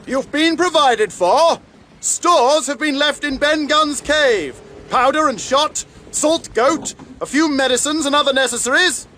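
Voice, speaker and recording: A man playing a stern seafaring gentleman, calling out loudly and formally in a drama. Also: very high voice, measured pace, slight background noise, exaggerated voice. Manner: loud, formal, declaiming.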